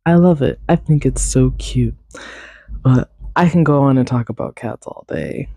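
A young woman hosting a casual solo podcast, rambling and self-deprecating, her voice raspy and congested from a cold. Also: rumbling low, unhurried, mellow, dry recording. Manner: rambling, self-deprecating, many fillers and laughs. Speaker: podcast host.

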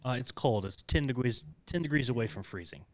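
A man's voice: medium pitch, lively intonation, brisk, no echo. An American man hosting a casual interview, rambling with many fillers and frequent laughs. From United States. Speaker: podcast host.

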